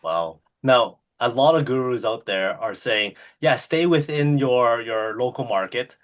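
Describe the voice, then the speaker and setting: A man's voice: mid-range voice, a little slow, muffled recording. Man, podcast host, enthusiastic, filler-heavy, interviewing a guest. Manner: enthusiastic, many "uh" fillers and restarts. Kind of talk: interview hosting.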